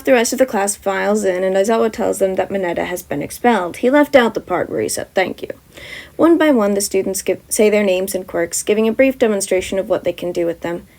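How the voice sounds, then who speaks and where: Young woman, author-reader of her own podfic; mid-pitch, chatty, rambling asides. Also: a little fast, gravelly, darkish tone. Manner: rambling, self-correcting, upbeat. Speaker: fan fiction author reading her own story. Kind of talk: podfic narration and casual chat.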